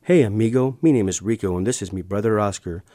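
A man's voice: on the low side, fast talker, dark tone, dry recording, clean studio sound, close to an everyday voice. A man voicing a rowdy young ant with a Spanish accent while reading a storybook aloud. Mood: friendly.

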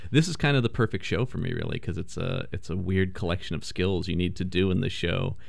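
A man's voice: deep voice, brisk, vocal fry, no room sound. A man who acts in a radio drama, chatting in an interview with many fillers and restarts. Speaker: radio drama cast member. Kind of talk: casual interview chat.

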